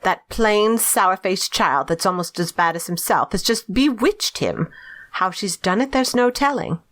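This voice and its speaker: Woman as an animated, gossipy housekeeper. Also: mid-range voice, a little slow. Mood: amazed, gossipy. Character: gossipy, astonished housekeeper, deferential but disapproving. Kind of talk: audio drama acting.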